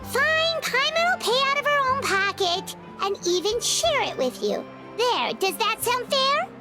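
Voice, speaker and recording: A woman voicing an excitable tiny fairy companion with a very high, bubbly voice in a video game. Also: unhurried, exaggerated voice. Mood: chatty. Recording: game audio over music.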